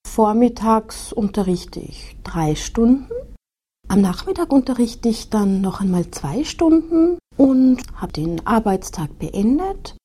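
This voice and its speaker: A woman, a teacher reading a short scripted self-introduction in a slow, measured, soft-spoken way. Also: fairly high pitch, lively intonation, husky. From Austria. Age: 30s. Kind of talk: scripted monologue for a listening exercise.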